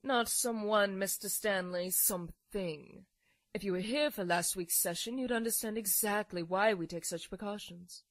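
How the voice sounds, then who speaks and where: A woman playing a composed, clinical nurse giving measured, formal reports in a horror audio drama. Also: mid-range voice, no echo, natural voice. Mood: firm, explanatory.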